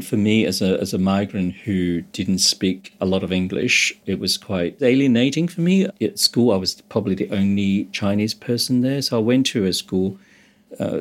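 Low-voiced meditation teacher from Hong Kong. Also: male voice. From Hong Kong, moved to Australia as a teenager. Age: 50s or older. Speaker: meditation instructor, interview guest. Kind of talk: explanatory interview talk.

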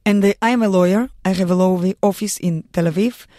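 A woman with a Russian accent answers interview questions in earnest, halting English full of restarts. Her voice sits at a middle pitch. The studio sound is close and dry. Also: mellow, dry recording. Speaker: guest, mountaineer and lawyer. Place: radio studio.

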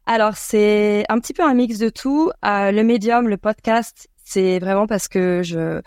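A woman from France with a French accent, answering interview questions conversationally and thoughtfully, often pausing mid-sentence. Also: mid-range voice, darkish tone, clean tone, dry recording, studio quality. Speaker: podcast creator being interviewed.

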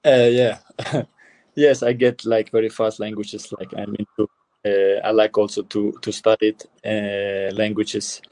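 A young man with an Albanian accent answering interview questions, halting often with fillers and restarts. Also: lowish voice, level tone, mellow. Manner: hesitant, many fillers and restarts. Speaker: footballer guest.

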